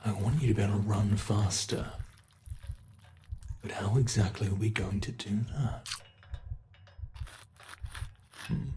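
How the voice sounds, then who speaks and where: A man voicing a sadistic male monster in an ASMR horror roleplay, talking in a slow, taunting way that relishes cruelty. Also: lowish voice, mellow, slight background noise, natural voice. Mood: musing, cruel.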